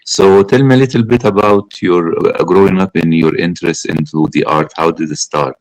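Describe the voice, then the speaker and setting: A man conducts an interview in a low, deep voice. He speaks conversationally, often restarting his phrases, and the sound is dry and close. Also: steady tone, moderate pace, no room sound, rough recording. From Egypt. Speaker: interviewer, film critic.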